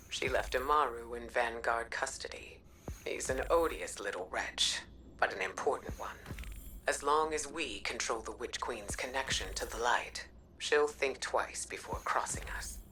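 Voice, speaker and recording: A woman voicing a composed, stern commander delivering a formal mission report in a video game, measured and authoritative. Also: extremely low pitch, bright, forceful tone, recorded from a distance, slight background noise, heavily acted. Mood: grudging, firm.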